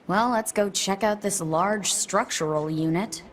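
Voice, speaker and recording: A woman's voice: mid-range voice, a little slow, lightly acted. A woman playing a blunt, sarcastic android fighter in a video game, with dramatic delivery. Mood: irritated, sarcastic.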